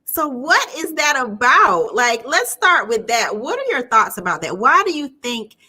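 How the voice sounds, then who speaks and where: Animated, bright-voiced woman in her forties. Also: a little high, measured pace, husky. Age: 40s. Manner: upbeat, laughing, animated. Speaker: relationship coach and host. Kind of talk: casual live-stream chat.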